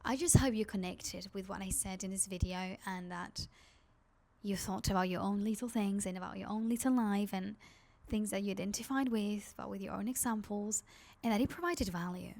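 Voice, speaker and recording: A woman's voice: mid-range voice, normal speed, vocal fry, a little mellow. A woman with a Spanish accent, a native Spanish speaker from Spain, vlogging in a rambling, confiding chat to camera. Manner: rambling, confiding, heavy use of "like".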